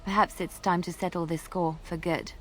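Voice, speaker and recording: A woman playing a lowish-voiced, resolute adventurer. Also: level tone, normal speed, a little hiss or hum, close to an everyday voice. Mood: brooding, resolute. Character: wary, wronged young female adventurer, resolute. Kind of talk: video game dialogue acting.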